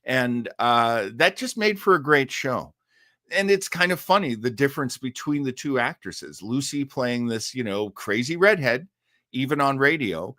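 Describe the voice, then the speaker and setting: A man's voice: medium pitch, lively intonation, a little slow, fairly bright tone, dry recording, clean studio sound. A middle-aged man hosting a live stream, chatting casually and reading viewer comments in a rambling, enthusiastic way with frequent hesitations. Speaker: live-stream host of an old-time radio show.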